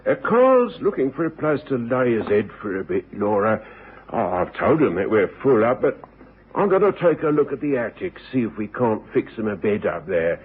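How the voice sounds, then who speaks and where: An older English boarding house keeper in a radio drama. He is folksy and hesitant, with a husky voice that wanders up and down, heard through a tinny old broadcast. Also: fairly low pitch, very animated, moderate pace, heavily acted. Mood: friendly, bustling.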